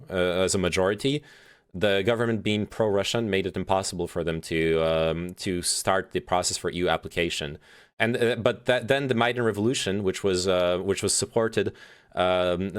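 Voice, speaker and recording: A man's voice: low-pitched, clean tone. A young man streaming, chatting about politics with many fillers and restarts, swearing freely as he reads and answers chat. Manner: reads chat messages aloud and reacts.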